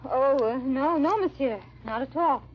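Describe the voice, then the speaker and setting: A woman's voice: high voice, unhurried, slight background noise. An actress playing a frightened young noblewoman on the run, who also narrates her tale, in melodramatic, formal 1940s radio drama style. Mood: anxious. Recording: muffled vintage radio recording.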